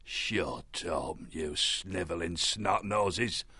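A man voicing a blunt, menacing male badger warrior in a storybook, with a gruff manner. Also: low-pitched, extremely slow, bright, forceful tone, dry recording, exaggerated voice. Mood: threatening.

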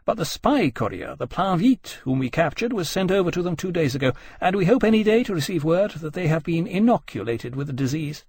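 A man's voice: on the high side, animated, a little mellow, breathy edge, dry recording, pitched above the performer's own voice. Man playing a haughty admiral, raised voice. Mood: confident. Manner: brisk, formal, condescending. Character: self-assured, haughty male admiral, smoothly dismissive.

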